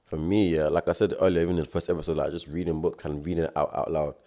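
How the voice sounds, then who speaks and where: A young man with a South London accent, co-hosting a casual podcast chat, talking quickly in slang with repeated words and stammers. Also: very deep voice, level tone, mellow. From South London, England. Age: young adult. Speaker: podcast co-host.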